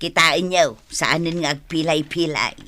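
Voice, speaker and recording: A woman's voice: deep voice, measured pace, bright, forceful tone. A woman acting and announcing in a Philippine radio drama as an emphatic, moralizing village woman. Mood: admonishing. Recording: music bed under the voice.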